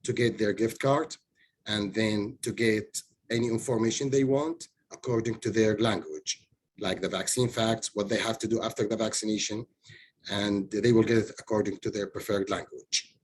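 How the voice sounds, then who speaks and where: Man, coalition presenter, step-by-step talk, low voice. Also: steady tone, moderate pace, slight background noise. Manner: plain step-by-step explanation. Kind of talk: webinar presentation.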